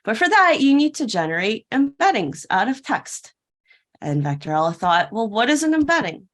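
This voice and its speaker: A woman's voice: on the high side, sing-song, normal speed, fairly bright tone. A woman delivering a prepared keynote talk in a playful storytelling way, posing questions and answering them. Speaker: keynote speaker at a tech conference. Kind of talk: prepared conference talk told as a fairytale parable.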